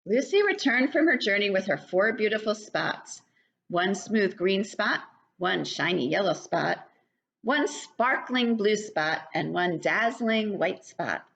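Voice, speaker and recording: An older woman reading a picture book aloud to young children in an animated, child-directed way. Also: mid-range voice, takes it slowly, vocal fry. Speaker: children's story reader. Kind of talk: read-aloud picture book narration for preschoolers. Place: living room at home. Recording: dull, lo-fi webcam sound.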